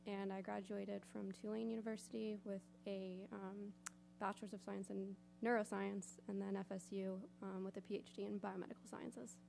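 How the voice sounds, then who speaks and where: Woman from baltimore, medical student, flat gravelly voice, a bit hesitant. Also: medium pitch, slight background noise, poor audio. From Baltimore, Maryland. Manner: hesitant, filler pauses. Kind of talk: brief self-introduction.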